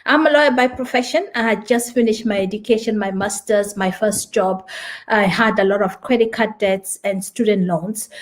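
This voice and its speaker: A woman's voice: mid-range voice, darkish tone. A woman in her forties from Kenya, sharing her story with enthusiasm and some hesitant restarts. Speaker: lawyer and business presenter. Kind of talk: personal story and company presentation.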